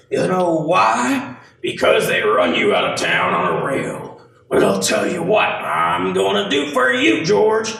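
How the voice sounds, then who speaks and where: A man playing a scornful, miserly old banker in a radio play, sneering and bullying in a gravelly voice. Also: high-pitched, a bit bright, full character voice. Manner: sneering, drawn-out mock surprise. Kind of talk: audio-only radio play acting. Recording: echoey room, distant mic, faint noise.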